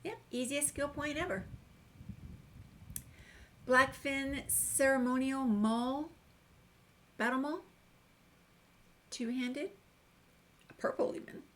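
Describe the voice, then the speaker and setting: A woman giving casual, chatty let's play commentary on a video game, playfully talking back to the characters. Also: a little high. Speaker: gamer doing a video game walkthrough.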